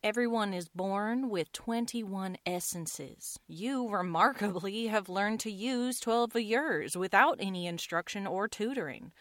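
Actress as steely western heroine, calm, unhurried pace, mid pitch, dry recording, radio drama. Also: female voice, dry recording, close to an everyday voice. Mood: calm, assured. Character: calm, steely slight young heroine with a shotgun.

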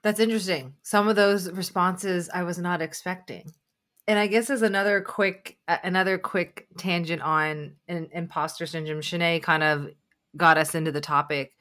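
A woman hosting a casual conversation, asking the group questions in a relaxed, loose way. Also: on the low side, moderate pace. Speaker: podcast host.

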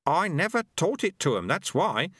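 A man's voice: fairly high pitch, very animated, normal speed, a bit bright, slightly rough, dry recording, clean studio sound, pitched above the performer's own voice, full character voice. A man voicing a wily old male martial arts master who teases and bosses his pupil. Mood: sly teasing. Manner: sly, teasing, bossy.

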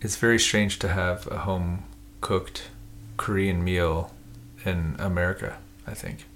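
A man co-hosting a podcast, chatting casually in a relaxed, self-deprecating way. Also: low voice, unhurried, slight background noise. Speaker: podcast co-host. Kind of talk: casual chat.

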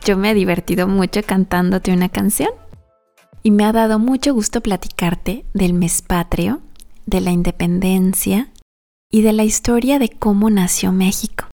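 A woman hosting a children's podcast, telling a history story in a gentle, simple storytelling way. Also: medium pitch, moderate pace, soft background music.

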